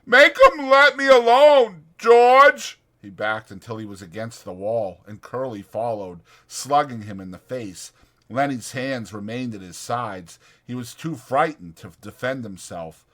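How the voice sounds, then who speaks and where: A man's voice: fairly low pitch, a little slow. A man narrating a novel as a dramatized audiobook.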